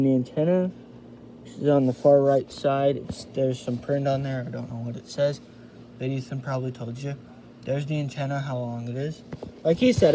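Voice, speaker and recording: Guy doing a casual review at home, real mellow voice, bit of background noise. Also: medium pitch, poor audio. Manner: informal, chatty, addresses viewers as "you guys". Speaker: video host reviewing a radio. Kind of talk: casual hands-on product review. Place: indoors in a house.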